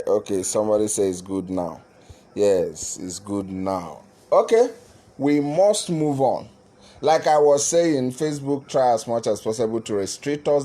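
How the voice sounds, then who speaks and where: Man, live-stream commentator, mid-pitched, halting, earnest, lots of fillers. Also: warm tone. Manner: halting, with frequent "uh" fillers and restarts; earnest, addresses viewers directly. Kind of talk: casual live-stream talk to viewers.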